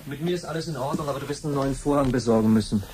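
An actor playing a laid-back, quietly defiant young adult son in a science-fiction radio play. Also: male voice, mid-range voice, rapid-fire, slight background noise, lightly acted. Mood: nonchalant.

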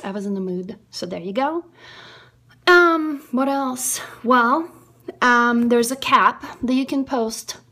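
A woman's voice: a little high, takes it slowly. Playful Russian-accented woman, close to camera. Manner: goofy and theatrical, swinging between whispers and excited squeals. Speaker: indie writer and vlogger. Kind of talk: playful casual vlog to camera. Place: small room indoors at home. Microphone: phone or webcam close to the face.

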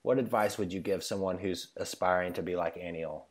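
A man's voice: deep voice, fairly even intonation, a little fast. A man hosting a casual podcast interview, often laughing and cheering on his guest. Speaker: podcast host.

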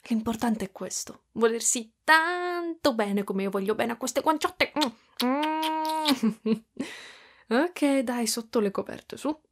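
A doting spider-woman mother, acted by a woman for ASMR roleplay. Her voice is fairly high but very breathy, and she speaks at a very unhurried pace. She is cooing, gentle and protective, often close to a whisper. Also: lively intonation, heavily acted. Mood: tender, soothing. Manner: soft, cooing, hushed, drifting into whispers. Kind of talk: intimate ASMR roleplay in Italian.

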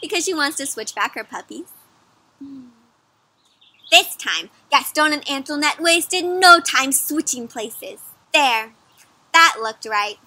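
A young woman reading a picture book aloud to children outdoors, pausing to ask them questions in a teacherly way. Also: high-pitched, slight background noise. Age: young adult. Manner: animated teacherly questioning, addressing children as friends. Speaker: children's story reader. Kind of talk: interactive picture-book read-aloud for kids. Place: outdoors in a garden or yard.

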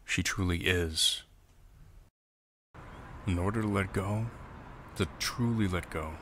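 A man's voice: sits low, takes it slowly, a bit bright, a little hiss or hum. A man giving reflective scripted voice-over narration in a solemn, sentimental manner. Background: music.

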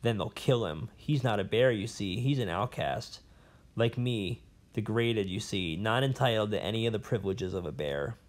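A man's voice: lowish voice, expressive, normal speed, close to an everyday voice. A man voicing a pompous, rambling old male professor in an audiobook, blustering and fussy. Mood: gloomy. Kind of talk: audiobook character voice.

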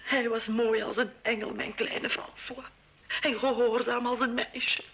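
A humble, sorrowful peasant mother in an old radio drama. She speaks slowly, meekly and haltingly. The recording is dull and lo-fi. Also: a little high, level tone. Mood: timid, sorrowful. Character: timid, grieving poor peasant mother. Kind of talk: Dutch-language radio play acting.

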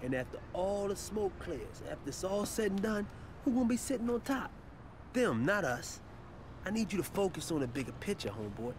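An American actor playing a confident, easygoing hip-hop mogul in a video game, talking laid-back street slang. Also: male voice, high-pitched, sing-song, fairly quick, noisy background. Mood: assured, friendly. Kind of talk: video game cutscene acting.

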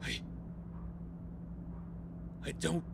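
An actor playing a paranoid, anguished war veteran turned hostage-taker in an audio drama, agitated and halting as he rants. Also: background noise. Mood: anguished, bitter.

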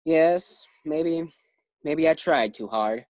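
Casting: a woman doing an eager, bumbling young husband for a 1940s radio sitcom, very low, lively delivery, dry close sound. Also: slow pace, no room sound. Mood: nervous. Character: young husband George, eager bank clerk.

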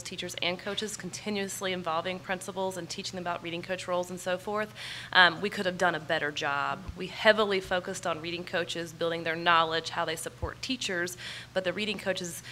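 A woman's voice: fairly low pitch, fairly even intonation, brisk, sharp tone. A woman in her forties with an American accent giving an emphatic, explanatory, unscripted answer as a panelist, speaking into a table microphone. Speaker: panelist on an education policy panel. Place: large conference ballroom stage. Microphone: gooseneck table microphone. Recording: live conference PA with faint room noise.

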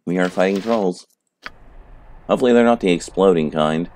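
A man's voice: sits low, normal speed, darkish tone, a little hiss or hum. A man with a sore throat giving laid-back, profane commentary while playing a game. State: sore throat, a little hoarse. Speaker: let's play host. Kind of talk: casual gameplay commentary.

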